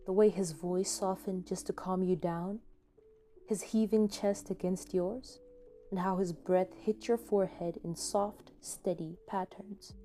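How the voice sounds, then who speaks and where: A woman reading scripted fan fiction as a dramatic narrator, with expressive, deliberate phrasing. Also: lowish voice, soft background music.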